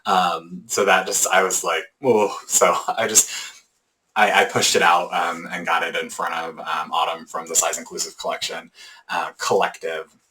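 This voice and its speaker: Chatty deep-voiced man hosting a knitting podcast. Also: level tone, normal speed, bright, forceful tone, rough recording. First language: English. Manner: rambling, chatty, many ums and restarts. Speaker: podcast host. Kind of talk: casual podcast chat.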